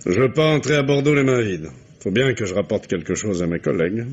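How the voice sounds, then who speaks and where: An actor playing a dry, ironic middle-aged police commissioner in a 1950s radio drama. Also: male voice, on the low side, brisk, slightly rough. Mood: gently teasing. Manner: dry, ironic, calm authority. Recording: muffled old radio recording.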